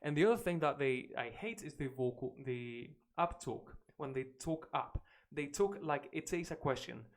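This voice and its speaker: A man's voice: a little high, lively intonation, normal speed. A man from Spain, a native Spanish speaker with a British-leaning English accent, chatting energetically on a live stream as an English teacher and reacting to clips.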